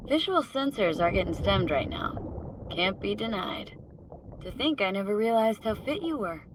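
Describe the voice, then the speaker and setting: An actress playing a curious, dryly supportive woman mercenary on a dive in a video game, speaking in a terse, low-key, questioning way. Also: big pitch swings, normal speed, background noise, lightly acted. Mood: dry, casual. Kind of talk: video game voice acting, casual dialogue.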